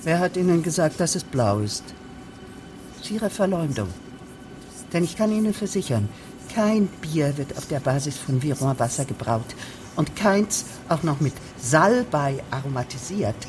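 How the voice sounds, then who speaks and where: A woman's voice: low voice, expressive, a little slow, noisy background. An actress playing a pompous, verbose and officious female village administrator in a radio drama. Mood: indignant.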